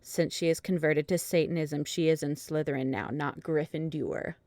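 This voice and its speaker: Low-voiced woman narrating, dry close sound. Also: steady tone, normal speed, darkish tone, no echo. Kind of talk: comedic dramatic reading of fan fiction.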